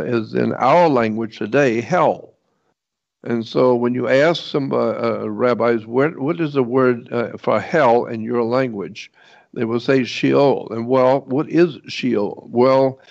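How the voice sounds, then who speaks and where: A man giving an informal explanatory lecture in a halting, rambling way, repeating points for emphasis. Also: mid-range voice, creaky, a little mellow. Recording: dull, lo-fi muffled audio.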